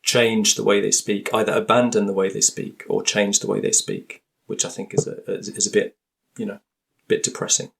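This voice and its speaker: Low-voiced British man, hesitant and thoughtful. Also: little pitch movement, moderate pace, vocal fry. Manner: hesitant, thoughtful, frequently rephrasing. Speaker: interview guest, linguist and author. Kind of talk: conversational podcast interview.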